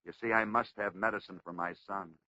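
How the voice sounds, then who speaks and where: A man's voice: deep voice, fairly even intonation, fairly quick, bright, forceful tone, no echo, pitched below the performer's own voice. A man voicing an earnest, desperate father, a prizefighter going blind, in 1940s radio storytelling. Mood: quiet, pleading. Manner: earnest, pleading. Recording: dull lo-fi 1940s radio recording.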